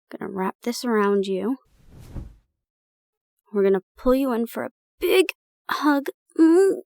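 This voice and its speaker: A young woman playing a protective roommate in an improvised audio roleplay, brisk and bossy, then gently soothing. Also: medium pitch, dry recording, studio quality. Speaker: caring roommate.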